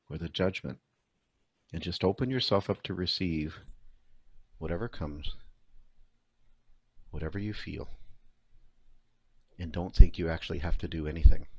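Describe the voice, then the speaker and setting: A man leading a guided meditation as a coach, speaking in a calm, soothing, unhurried way with pauses between phrases. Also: sits low, no room sound.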